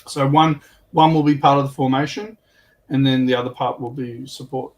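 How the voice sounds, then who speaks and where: Mid-pitched man chatting as panelist. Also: fairly even intonation, moderate pace, muffled recording. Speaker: panelist explaining game rules. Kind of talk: casual hobby panel chat.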